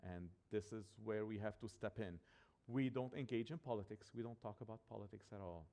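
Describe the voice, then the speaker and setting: Guy from lebanon talking to a church, bit low voice, lots of uhs and restarts, english is his second language. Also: warm tone. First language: Arabic or French. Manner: halting, many fillers and restarts. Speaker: missionary pastor. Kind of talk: church presentation with slides.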